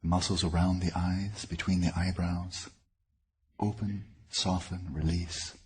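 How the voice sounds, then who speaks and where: A man leading a guided meditation as a teacher, speaking in deliberate, measured phrases with pauses. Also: sits low, flat delivery, darkish tone, breathy edge.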